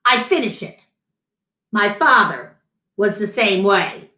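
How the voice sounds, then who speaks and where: A stern, dutiful male mouse dentist from a children's storybook, read by a woman. He speaks deliberately and emphatically, with lively pitch movement and a no-nonsense manner. The room sounds echoey. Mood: firm. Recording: roomy echo.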